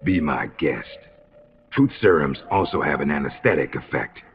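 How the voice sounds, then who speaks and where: An actor playing a smug, menacing soldier who interrogates with casual cruelty in a video game, his voice gravelly. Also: expressive, normal speed, noisy background. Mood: cold, calculating. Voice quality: very deep, gravelly. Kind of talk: dramatic video game voice acting. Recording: dull compressed game audio with music.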